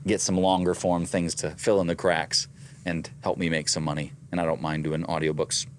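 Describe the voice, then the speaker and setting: Low-voiced man with a slight Midwestern accent. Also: little pitch movement, moderate pace, a little hiss or hum. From American Midwest. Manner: methodical, reads out numbers. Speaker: voice actor and co-host. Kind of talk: casual business chat.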